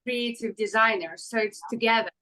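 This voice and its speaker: A woman in her thirties from Ukraine, a fashion designer answering interview questions in halting with many fillers. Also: a little high, bright, forceful tone, rough recording. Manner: halting non-native English with fillers.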